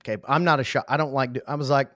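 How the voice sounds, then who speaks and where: A man's voice: medium pitch, fairly even intonation, racing, fairly bright tone, dry recording, clean studio sound. A middle-aged man hosting a podcast, upbeat and enthusiastic, telling anecdotes and repeating phrases for emphasis. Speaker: podcast host. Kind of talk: casual interview chat.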